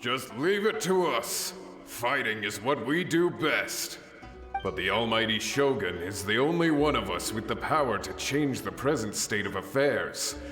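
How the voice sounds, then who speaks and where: Casting: loyal samurai, reassuring tone, roomy echo and music under. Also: male voice, mid-range voice, animated, measured pace, fairly bright tone. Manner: reverent, reassuring. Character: devoted male samurai soldier, hopeful and loyal. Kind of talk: game voice acting. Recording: echoey game audio with music.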